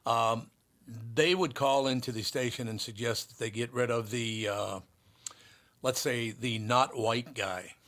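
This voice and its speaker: A man in his sixties from Minneapolis, hosting a casual talk show. He has a gruff, slightly harsh voice and tells long, rambling stories full of restarts. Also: medium pitch, a little slow, fairly bright tone. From Minneapolis, USA. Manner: rambling, storytelling, frequent restarts. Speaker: show host. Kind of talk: casual chat.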